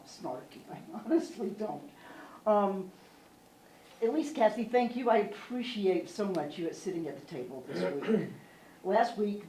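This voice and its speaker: A woman giving public comment at a town meeting, loud, emphatic and insistent. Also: on the low side. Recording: echoey meeting room, light room noise.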